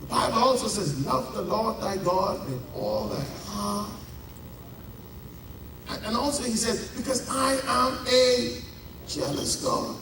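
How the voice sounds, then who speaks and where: Bahamian male pastor, unhurried, heavy emphasis; distant mic, noisy hall. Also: very high-pitched. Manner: preaching with dramatic pauses and drawn-out emphasis. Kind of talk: sermon. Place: large church. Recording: echoey church heard from a distance.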